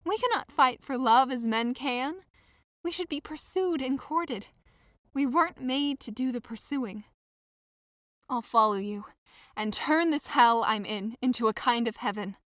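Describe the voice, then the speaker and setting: A devoted, lovesick young woman played by an actress in an audio drama. She pleads with earnest theatrical feeling in a fairly high voice, recorded close with no room sound. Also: measured pace, a bit bright, dry recording. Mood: reproachful, devoted.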